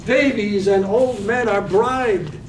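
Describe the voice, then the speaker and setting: A man playing the lyrical, measured narrator in a staged reading of a poetic radio drama. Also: high voice. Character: lyrical, measured storyteller of a night-time town. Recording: roomy, distant mic, faint noise.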